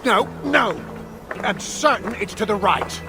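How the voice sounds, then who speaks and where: High, creaky, wry male dwarf. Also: expressive, unhurried, over music, exaggerated voice. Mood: bickering. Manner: dry, teasing, grumbling. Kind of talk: conversational video game acting.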